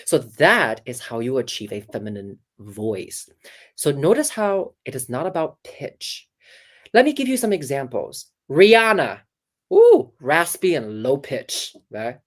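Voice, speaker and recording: A woman voice coach talking casually on a video call, animated and demonstrative, with many fillers and restarts. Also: rumbling low, a little slow. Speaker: voice coach guest. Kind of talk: casual interview, teaching.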